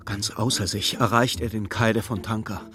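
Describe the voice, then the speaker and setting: An actor as the narrator of a literary radio play, telling the story precisely and with dramatic suspense. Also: male voice, lowish voice, steady tone, normal speed, natural voice. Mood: dramatic, bleak. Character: male storybook narrator, vivid and urgent observer. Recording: studio radio play with music.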